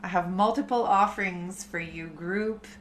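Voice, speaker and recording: A woman in her thirties, a chanting teacher, talking softly and unhurriedly to her live-stream community. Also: fairly low pitch, a little hiss or hum. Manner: slow, soft, unhurried spiritual talk.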